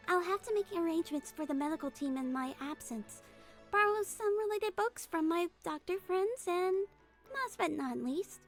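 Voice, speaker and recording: A woman voicing a small, gentle, girlish head nurse who is polite and earnest, in a video game fan dub. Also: very high-pitched.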